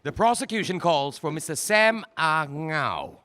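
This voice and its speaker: A man's voice: a little high, big pitch swings, pushed and bright, a little hiss or hum, full character voice. A man playing a formal courtroom prosecutor. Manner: measured, formal questioning. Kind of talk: radio drama acting.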